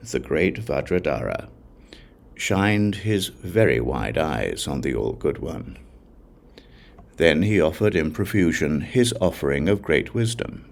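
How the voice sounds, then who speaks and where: A man's voice: rumbling low, gravelly. A man giving a solemn, declarative scripted reading of sacred texts as an audiobook narrator.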